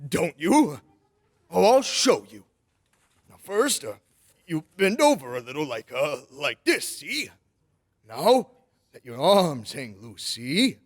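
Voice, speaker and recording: A man performs a jolly old Father Christmas for an old-time radio drama. The voice sits high for a man and is husky, with lively rises and falls. The phrasing is kindly, old-fashioned and playful. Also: takes it slowly, long pauses, heavily acted. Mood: gleeful. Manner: chuckling, coaxing, old-fashioned grandfatherly phrasing.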